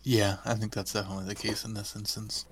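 A man's voice: lowish voice, little pitch movement, quick pace, very noisy. A man co-hosting a podcast, giving his opinions in a casual review chat. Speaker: podcast co-host.

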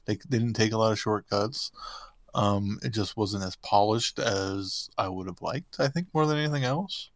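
A man talking casually as a podcast panelist, giving his opinions in a measured, even-handed way. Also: fairly low pitch, no room sound, clean studio sound. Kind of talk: casual review discussion.

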